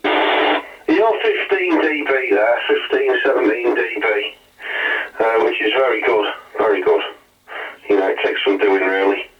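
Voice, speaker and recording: A man's voice: mid-range voice, measured pace. An English man chatting casually as a radio contact, his voice coming through a CB transmission. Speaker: radio contact on the other end. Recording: muffled, very noisy CB radio, distant.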